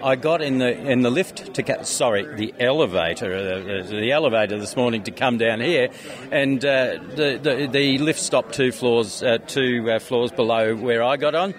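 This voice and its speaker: A man's voice: mid-range voice, moderate pace. An Australian man chatting casually, telling anecdotes in a rambling, hesitant way. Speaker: interviewee, skeptics convention organiser. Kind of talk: casual chat. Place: busy convention venue. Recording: crowd chatter behind the voice. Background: crowd chatter.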